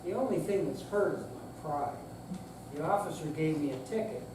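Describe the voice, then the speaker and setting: A man's voice: a little high, moderate pace, lightly acted. In a very echoey room, far from the microphone, there is an older man reading an elderly father. He is sheepish and a bit stubborn, speaking fondly to family in a stage reading with noise around him. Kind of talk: staged readers theater reading. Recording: echoey noisy hall, distant mic.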